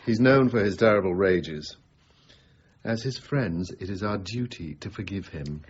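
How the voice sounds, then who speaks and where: An actor playing an urbane, wry aristocratic politician in a period radio drama, courteous, dry and measured. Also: male voice, deep voice, expressive, husky, heavily acted. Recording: dull, muffled radio recording.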